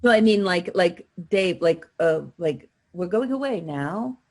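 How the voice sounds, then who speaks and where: A woman's voice: lowish voice, lively intonation, unhurried, no echo. A woman hosting, asking questions and summing up in a casual interview style. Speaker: host and voice coach.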